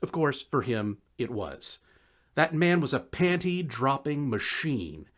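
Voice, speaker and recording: A man reading a scripted first-person story in a wry, conversational, expressive way. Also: mid-range voice, slow. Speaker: audiobook narrator.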